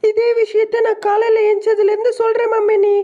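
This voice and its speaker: A very squeaky little female bird in a cartoon, complaining and blunt. The tone is soft-edged and dark, and the recording is slightly dull. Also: completely flat delivery, brisk. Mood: hungry, complaining. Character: small female bird, whiny, blunt, nagging. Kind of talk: Tamil moral-story cartoon dub.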